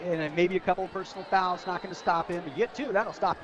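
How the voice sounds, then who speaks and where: Man, American accent, high pitch, casual folksy football analyst, radio commentary, loud crowd behind. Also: quick pace, muffled recording. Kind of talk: conversational football commentary and drive recaps.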